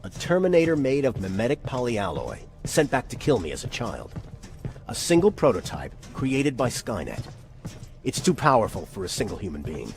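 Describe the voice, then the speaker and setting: An actor playing a solemn, resolute resistance commander in a video game, speaking in a deliberate, measured way. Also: male voice, medium pitch, huge pitch swings, creaky. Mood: grave, urgent. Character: grave, resolute male resistance commander in a future war. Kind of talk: dramatic video game acting. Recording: game dialogue over loud music.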